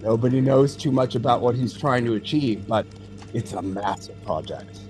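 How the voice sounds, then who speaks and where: An actor playing an experienced, thoughtful male alien data center technician in an audio drama, explaining things in a measured way. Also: medium pitch, a little mellow, soft background music, natural voice. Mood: matter-of-fact, explaining.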